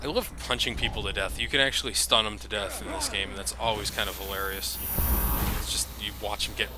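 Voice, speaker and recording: A man's voice: lowish voice, fairly even intonation, fast talker. A man giving casual, conversational gameplay commentary as he plays, rambling a little as the host of a let's play. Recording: loud game noise behind voice. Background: video game action sounds.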